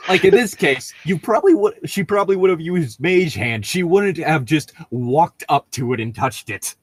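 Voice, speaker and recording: Casual podcast guy, really expressive pitch, reads stories and chats, no room echo at all. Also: normal speed, husky. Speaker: podcast host reading stories. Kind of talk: casual chat and story reading.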